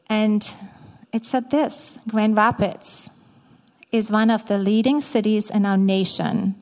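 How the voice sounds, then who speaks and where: German-accented woman preaching in a reverberant hall. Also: mid-range voice. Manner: warm, candid, slightly halting with fillers. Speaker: guest speaker, ministry staff. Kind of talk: sermon to a church congregation. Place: church sanctuary. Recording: long reverb of a large church hall.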